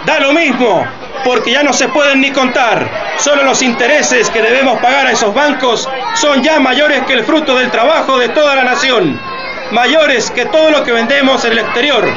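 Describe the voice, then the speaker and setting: An actor playing an impassioned, defiant president, delivering a declamatory, emphatic political speech in a radio drama. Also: male voice, very high voice, normal speed. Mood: grave, indignant. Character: impassioned male president rallying his nation in a defiant speech. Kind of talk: radio theatre political oratory. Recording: dull lo-fi, loud crowd and noise.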